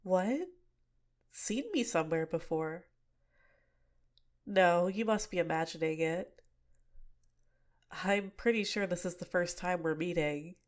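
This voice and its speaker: Soft-spoken young villainess, manipulative, close-mic ASMR; a woman voices her. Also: on the low side, slow, long pauses. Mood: shy, apologetic. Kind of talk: ASMR roleplay.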